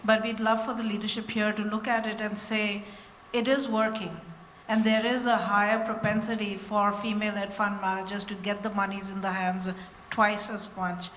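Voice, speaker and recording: A woman's voice: medium pitch, a bit bright. A woman in the audience makes an earnest, persuasive comment from the floor. Her voice sits mid-range for a woman. It echoes through a large hall with a long reverb and faint background noise. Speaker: audience member.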